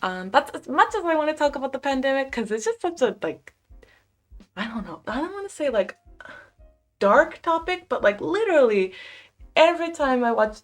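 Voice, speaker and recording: Young woman streamer, casual chat from her bedroom, bright-ish higher voice, rambling "like" fillers. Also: sing-song, moderate pace, soft background music. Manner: chatty, lots of "like" fillers, frequent laughs. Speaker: livestream host. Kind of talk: casual chat reading viewer comments. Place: small bedroom at home.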